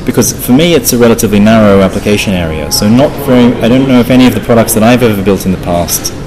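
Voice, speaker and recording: A man with a fairly low voice answers technical questions as an interview guest. He circles back and rephrases as he explains. A busy crowd chatters behind him. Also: fast, rough recording. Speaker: interview guest, open source project founder. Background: crowd of people talking.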